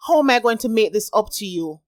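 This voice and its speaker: A woman's voice: fairly high pitch, animated, fast, dry recording, studio quality, lightly acted. A woman voicing a remorseful young man who pleads. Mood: pleading.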